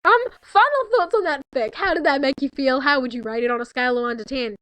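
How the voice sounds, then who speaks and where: A young woman with a very high voice talks casually, as if on a call with a friend. She is giggly and slips into mock-dramatic sarcasm. The sound is close and dry. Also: fast, a bit bright, dry recording. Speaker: co-host reading fanfiction.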